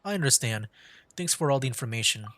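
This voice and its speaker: A man's voice: mid-range voice, expressive, fast, a bit bright. A man giving casual gaming commentary as a streamer, rambling and hesitant, close to the microphone. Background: faint game music.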